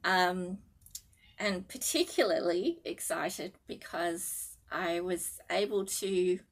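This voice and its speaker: A woman's voice: lowish voice, slow. Giggly Australian woman, chatty to camera. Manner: chatty, giggly, warm thanks with many fillers and restarts. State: sleep-deprived and a little nervous. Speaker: needlework designer and vlogger. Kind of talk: casual chat to camera. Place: living room at home.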